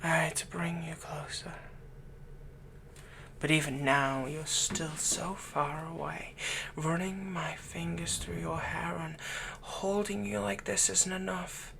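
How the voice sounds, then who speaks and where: Obsessed villain lover played by a man, slow and insinuating, gravelly edge under breathy intimate murmurs, ASMR audio drama. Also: fairly high pitch, fairly even intonation, slight background noise, exaggerated voice. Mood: possessive, tender. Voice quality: breathy, whispery. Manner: slow, soft, possessive, insinuating. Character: smitten yandere villain comforting his captive.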